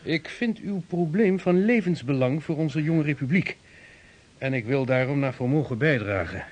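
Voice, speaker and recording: An actor playing a weighty, deliberate statesman in a Dutch radio drama, formal and emphatic in his old-world rhetoric. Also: on the high side, animated. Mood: grave, emphatic.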